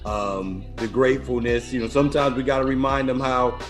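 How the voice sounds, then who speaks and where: A middle-aged man hosting a podcast in a casual conversational style, affirming and deliberate, circling around his questions. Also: mid-range voice, little pitch movement, faint music behind. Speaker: podcast host.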